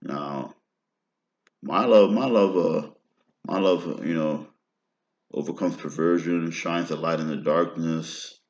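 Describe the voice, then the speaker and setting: Very deep-voiced man rambling, roomy and dull. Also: slow, long pauses, darkish tone. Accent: American. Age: 30s. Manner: meandering, often ends phrases with "right?". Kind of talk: rambling personal monologue.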